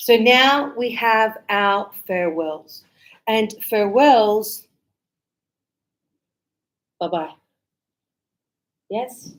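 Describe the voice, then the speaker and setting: A woman teaches English with a high, bright-sounding voice. She talks at an unhurried pace and spells each point out clearly. She acts out short example dialogues for her learners. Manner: slow, clear explaining, acting out sample dialogues.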